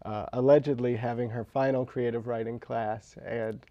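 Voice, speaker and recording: A man speaking as an interview guest and author, telling anecdotes with dry, deadpan humour. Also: mid-range voice, normal speed, muffled recording. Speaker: interview guest, local novelist.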